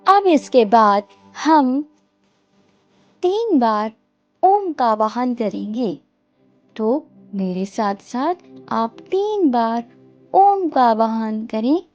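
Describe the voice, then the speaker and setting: A woman leads a guided meditation at an extremely slow pace, in short, gentle phrases. The recording is dry and slightly dull, with music playing behind her. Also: fairly high pitch, very animated.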